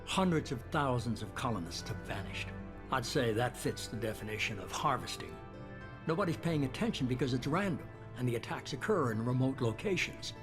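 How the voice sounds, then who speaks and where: An actor playing a calculating, self-assured leader of a secretive organization, speaking in a measured, persuasive way in a video game. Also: male voice, mid-range voice, animated, natural voice. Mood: grave, persuasive. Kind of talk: video game cutscene acting. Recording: music behind the voice.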